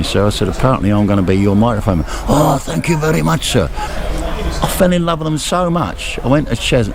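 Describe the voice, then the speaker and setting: An older man, a football stadium announcer, telling jokey stories and acting out the voices he quotes. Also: on the low side. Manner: chatty, jokey storyteller who acts out quoted voices. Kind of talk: storytelling chat. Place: outdoors at a football ground. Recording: crowd noise behind. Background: crowd.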